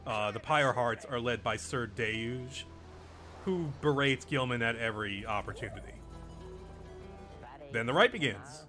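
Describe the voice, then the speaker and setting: A man giving casual commentary as he hosts a video game playthrough. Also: medium pitch. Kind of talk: casual let's play commentary.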